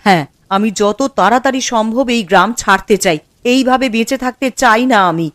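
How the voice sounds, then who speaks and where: A woman's voice: on the high side, brisk, a bit bright, no echo, lightly acted. A woman playing a resolute, wronged village widow in a cartoon moral story, earnest and emphatic.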